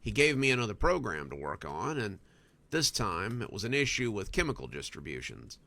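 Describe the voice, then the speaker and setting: A man reading a scripted first-person story as a narrator, with an expressive storytelling delivery. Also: lowish voice, a little fast, vocal fry, dry recording.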